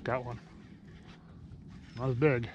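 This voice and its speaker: A man's voice: medium pitch, slow, lo-fi sound. A middle-aged man vlogging casually while fishing from a kayak outdoors, rambling to the camera. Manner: relaxed, rambling, talks to camera while casting. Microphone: action camera behind his head. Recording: outdoor camera audio with steady noise.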